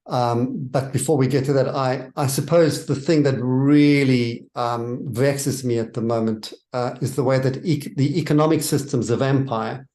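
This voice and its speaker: Brief: South African man of middle pitch, church author giving measured, earnest interview answers. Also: a little mellow.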